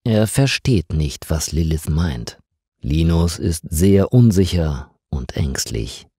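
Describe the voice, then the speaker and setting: A man reading a mystery story for language learners in a deliberate, carefully phrased scripted narration. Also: rumbling low, big pitch swings, warm tone, slightly rough, dry recording, clean studio sound.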